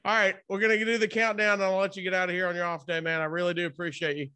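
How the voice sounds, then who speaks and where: A man's voice: sits high, level tone, very fast, pushed and bright, clear, resonant tone. A man hosting a casual interview, chatting in an informal, rambling, buddy-like way. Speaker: interview host.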